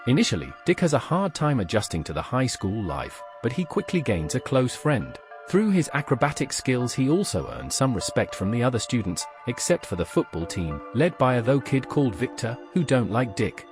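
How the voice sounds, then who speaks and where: A man's voice: mid-range voice, big pitch swings, moderate pace, hardly any pauses, music in the background. A man hosting a pop culture show, reading scripted explanatory narration.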